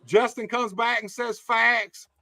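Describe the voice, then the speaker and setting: High, bright-voiced blunt wrestling host. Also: male voice, fairly even intonation, slow, no echo, studio quality. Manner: blunt, challenging, reads viewer comments aloud. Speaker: podcast host. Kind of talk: casual interview.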